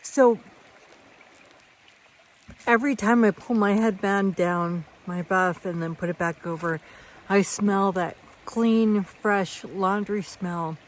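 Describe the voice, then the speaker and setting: A woman talks to a handheld camera outdoors on a mountain trail, rambling and thinking aloud as she goes. She takes her time with each phrase, at an unhurried pace, in a mid-range voice. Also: mid-range voice, a little hiss or hum. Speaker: thru-hiker vlogger. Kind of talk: casual trail vlog.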